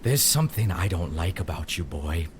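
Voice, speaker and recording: A bitter older knight of an audio drama, proud and contemptuous. The voice swings widely between theatrical bluster and low menace, with faint ambient noise behind it. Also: male voice, animated, normal speed. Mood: menacing, contemptuous.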